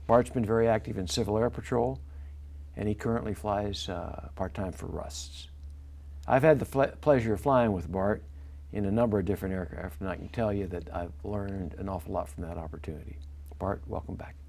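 Older guy hosting, kinda gravelly and low, asks questions slow and friendly. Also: darkish tone. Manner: friendly, measured questioning with fillers. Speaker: host. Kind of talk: TV interview hosting. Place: small TV studio. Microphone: lapel mic.

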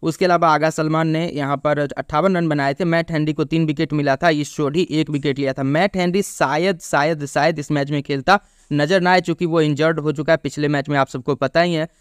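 A man talks through a cricket preview in a smooth, clear voice, a touch higher than most men. He reads score after score at an even clip, now and then stumbling and restarting a word. The sound is close and dry, with no room around it. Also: a little fast, dry recording. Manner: steady stat-reading with brief restarts. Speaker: cricket analyst. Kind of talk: pitch report and match preview.

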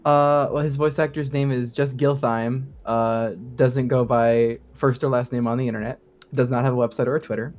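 A man's voice: mid-range voice, fairly even intonation, normal speed, a little mellow. A man chatting casually as a guest sound designer on a gaming show, very informal and peppering talk with "like". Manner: informal, frequent "like" and quoted asides. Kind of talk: casual interview chat.

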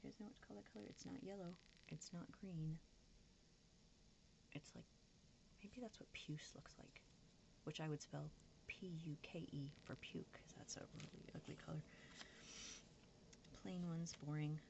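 A woman with a creaky voice rambles casually and candidly to her viewers, poking fun at herself and half talking to herself as she crafts at a table. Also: steady tone, normal speed, pauses often, a little mellow, in a whisper, dull audio. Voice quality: low, creaky voice. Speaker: craft vlogger. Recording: noisy room recording with background hiss.

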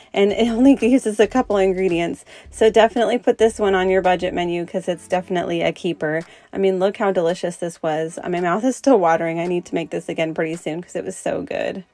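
A young woman narrates a home cooking demonstration in an easygoing voice-over. Her voice sits a little low for a woman, and she walks through each step in a friendly, instructive way. The sound is close and clean. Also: a little mellow. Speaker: cooking channel host.

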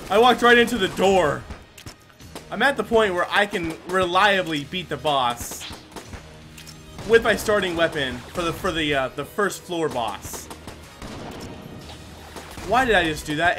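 High-pitched, bright-voiced man doing game commentary. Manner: animated, talks to himself and to game characters. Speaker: gaming video host. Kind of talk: excited let's play commentary. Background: video game music.

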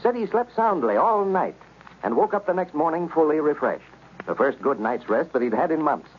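A man's voice: a little high, very animated, moderate pace. An American man delivering a sponsor message as a 1930s radio announcer. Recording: muffled, noisy old radio recording.

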